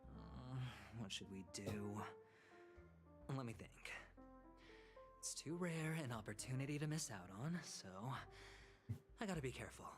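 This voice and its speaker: Actor as a sly, theatrical gambler with music behind. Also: male voice, mid-range voice, expressive, a little slow, pauses often, lightly acted. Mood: calculating. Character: suave, sly male gambler, theatrical young schemer. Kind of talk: video game voice acting.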